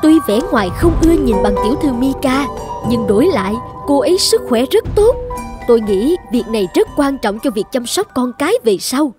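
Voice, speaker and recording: A fawning female matchmaker from a cartoon fairy tale, acted by a woman. She talks in a high, coaxing, deferential sales pitch over faint music. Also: big pitch swings, normal speed. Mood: flattering. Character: obsequious, smooth-talking female matchmaker. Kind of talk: animated folk-tale dubbing.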